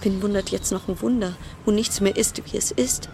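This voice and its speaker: An actress in a German radio play voicing a frayed, suspicious cruise ship passenger in a brooding monologue of broken-off, repeated phrases. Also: female voice, mid-range voice, steady tone, normal speed, vocal fry, noisy background, lightly acted. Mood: brooding, resigned. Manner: fragmented, broken-off sentences, repeating words. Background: music.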